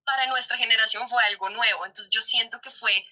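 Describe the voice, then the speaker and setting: A woman with a high, very sharp, forceful voice talks conversationally as an interviewed performer, often checking with her listener. The audio is slightly dull and lo-fi. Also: fairly even intonation, brisk. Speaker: theatre performer being interviewed.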